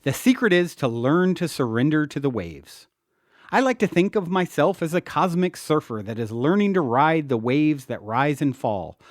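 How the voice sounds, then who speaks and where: Man reading with lively, swooping pitch. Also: no echo, clean studio sound. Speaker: author reading own audiobook. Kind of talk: scripted self-help audiobook narration.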